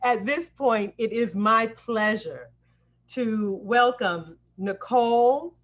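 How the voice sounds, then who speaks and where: A woman giving a welcome address as host on a live video call, with deliberate, emphatic phrasing. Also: on the high side. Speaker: acting coach hosting a student presentation.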